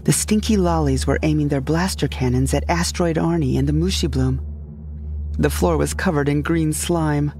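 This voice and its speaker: A woman narrating a scripted first-person comedic sci-fi audio drama in a deadpan storytelling manner. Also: sits low, normal speed, a little hiss or hum. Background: music.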